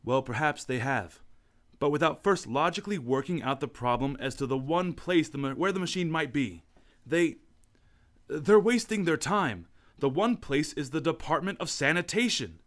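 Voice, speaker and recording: A man playing a calm, determined gentleman crime-fighter in an old-time radio drama, measured and commanding. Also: on the high side. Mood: urgent. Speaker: radio actor.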